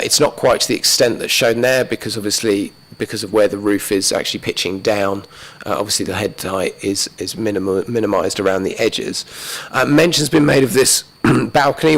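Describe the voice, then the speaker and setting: Low-voiced man losing his voice. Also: rough recording. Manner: methodical, many fillers and restarts, talks through slides. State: losing his voice, clearing his throat. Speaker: planning officer. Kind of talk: presenting a planning application to a council committee. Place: council chamber.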